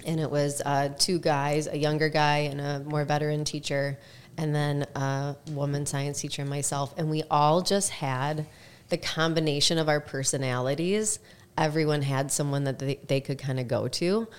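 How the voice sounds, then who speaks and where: A woman's voice: deep voice, fairly even intonation, normal speed, clear, resonant tone. A woman guest on a podcast, a former teacher, telling stories in a chatty way with many fillers. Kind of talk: casual interview storytelling.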